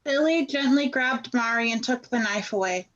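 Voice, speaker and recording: An actress playing a grave storyteller, giving composed, literary narration for a radio play on a slightly dull recording. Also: female voice, fairly high pitch, normal speed, fairly bright tone, natural voice. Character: grave female storyteller narrator of a family drama. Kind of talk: radio play third-person scripted narration.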